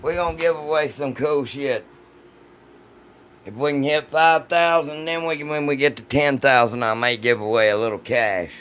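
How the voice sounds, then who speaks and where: A man with a deep, rough voice chats casually and jokingly with his livestream audience in a folksy, salty way. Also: steady tone, moderate pace, a bit bright. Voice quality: deep, rough voice from vocal cord polyps. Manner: folksy, joking, foul-mouthed. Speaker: livestream host reading and answering chat.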